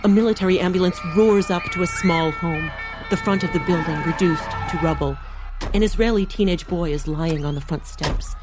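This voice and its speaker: A woman narrator with a lowish voice reads a dramatic audiobook script. Music plays clearly underneath her narration. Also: moderate pace.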